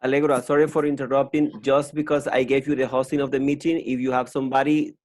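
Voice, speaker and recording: Polite man with a mid-pitched voice. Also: level tone, fast talker, a little mellow, slight background noise. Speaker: online meeting co-organiser. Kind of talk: casual spoken instructions. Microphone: video call microphone.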